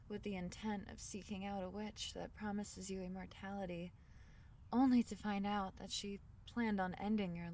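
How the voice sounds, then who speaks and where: A woman voicing a tiny, caring fairy in a soothing ASMR fantasy roleplay, speaking softly in slightly formal storybook phrases. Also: medium pitch, distant mic, a little hiss or hum, lightly acted. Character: tiny gentle female fairy, caring and protective.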